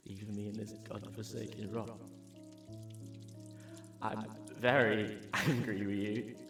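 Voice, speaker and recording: Gruff, loyal space researcher played by a man, low voice, halting heartfelt delivery, echoing cave sound, off-mic. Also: level tone, fairly bright tone. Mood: grieving. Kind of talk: sci-fi audio drama acting. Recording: distant echoey cave, music behind.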